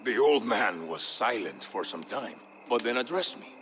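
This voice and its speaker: An actor playing a male narrator who recounts an old legend in a measured, scripted storybook manner for a video game. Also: lowish voice, big pitch swings, a bit bright, slight background noise. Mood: solemn storytelling.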